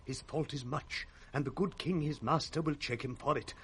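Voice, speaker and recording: A man's voice: on the high side, normal speed, fairly bright tone, lightly acted. An actor playing a courteous, anxious nobleman pleading formally in 1960s British radio Shakespeare. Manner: earnest, formal. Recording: faintly noisy old radio recording.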